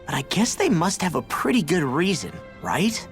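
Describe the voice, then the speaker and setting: A level-headed young fighter from a fantasy game. His delivery is breezy and casual with very varied pitch, over light music. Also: sing-song, normal speed. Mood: reassuring. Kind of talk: video game dialogue acting.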